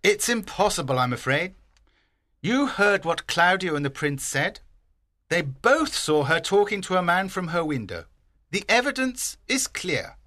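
Attore che fa un vecchio padre orgoglioso, voce tesa. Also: male voice, high voice, very animated, unhurried, sharp tone, dry recording, clean studio sound, exaggerated voice. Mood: sad. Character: proud old father, anguished and indignant. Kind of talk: dramatised audiobook reading.

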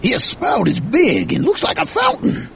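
Man as very high, creaky, eager harpooner. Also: wildly swooping pitch, measured pace, a bit bright, slight background noise, full character voice. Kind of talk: dramatized audiobook character voice.

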